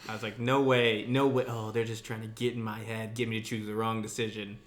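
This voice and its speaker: A man's voice: lowish voice, level tone, brisk. A man co-hosting a casual chat, talking in a blunt, slangy, joking way. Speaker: podcast co-host.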